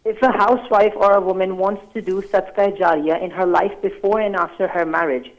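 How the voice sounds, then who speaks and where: A man's voice: high voice, steady tone, brisk. A man calling in to a live TV show, politely reading out a list of questions over a phone line. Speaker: caller.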